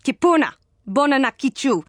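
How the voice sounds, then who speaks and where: A woman's voice: high voice, sing-song, normal speed, dry recording. Clipped alien female, close and dry. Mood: agitated. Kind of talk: video game alien dialogue, invented language.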